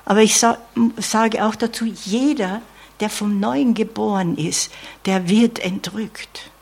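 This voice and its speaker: A woman's voice: medium pitch, steady tone, slow pace, creaky, darkish tone, slightly rough. A woman preaching a sermon in an earnest tone, often adding "ja?" asides and dropping to a whisper.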